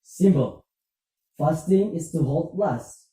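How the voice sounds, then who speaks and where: A performer voicing a calm, patient older religious teacher puppet in a scripted puppet show, measured and instructive. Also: mid-range voice. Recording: distant, echoey room, muffled.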